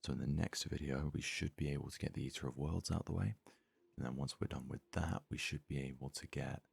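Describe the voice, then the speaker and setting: A man doing hushed ASMR gaming commentary with a gravelly, husky voice, casually musing aloud close to the microphone. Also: extremely low pitch, steady tone, fairly quick, dry recording. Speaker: let's play host.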